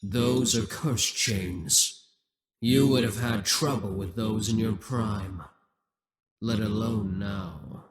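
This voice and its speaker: A man playing a proud, dominant demon king in an ASMR roleplay, speaking in a grandiose, deliberate, seductive way. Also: on the low side, heavy reverb, far from the mic. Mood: menacing, triumphant. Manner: grandiose, deliberate, coaxing and menacing.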